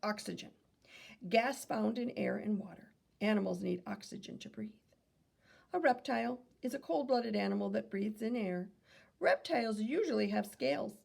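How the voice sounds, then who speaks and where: Creaky-voiced woman reading to children. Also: medium pitch, normal speed, darkish tone. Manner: teacherly, asks questions and answers them, self-correcting. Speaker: read-aloud reader and teacher. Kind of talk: reading a children's nonfiction book aloud with asides.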